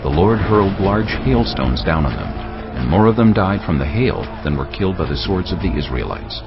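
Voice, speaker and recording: Very deep gravelly man narrating scripture. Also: muffled recording. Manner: solemn, measured storytelling. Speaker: audio Bible narrator. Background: background music.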